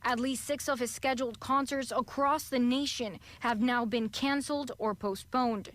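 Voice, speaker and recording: High-voiced woman reading a news report. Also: steady tone, a bit bright, slight background noise. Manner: measured, formal delivery.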